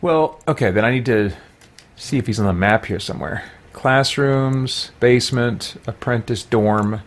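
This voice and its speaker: A man's voice: medium pitch, a little slow. A man giving casual let's play commentary, rambling and thinking aloud as he goes. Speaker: gamer playing and commenting on a role-playing game.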